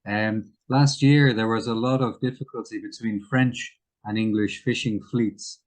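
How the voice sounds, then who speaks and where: A low-voiced man answers as an interview guest. He explains current affairs in a measured way, choosing his words with care and often pausing partway through a thought. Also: steady tone.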